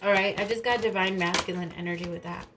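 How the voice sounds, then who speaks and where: A woman's voice: fairly low pitch, fairly even intonation, fast, background noise. A woman reading tarot cards in a casual, rambling way, a little stuffy and nasal from allergies, swearing now and then. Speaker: tarot reader.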